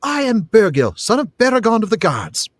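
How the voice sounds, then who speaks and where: A man's voice: sits high, wildly swooping pitch, normal speed, dry recording, studio quality, voice pushed up, heavily acted. A man reading a novel aloud, voicing a proud, eager, inquisitive ten-year-old boy. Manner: boastful, inquisitive.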